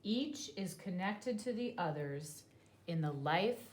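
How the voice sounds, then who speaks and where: Very slow-paced woman reading aloud. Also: lowish voice, expressive, slight background noise. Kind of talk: children's nonfiction read-aloud.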